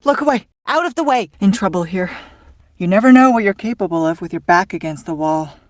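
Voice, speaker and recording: A woman's voice: medium pitch, expressive, a little fast, no echo, close to an everyday voice. An actress voicing a cool, sardonic female secret agent in a video game, with clipped, dry quips. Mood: terse, urgent.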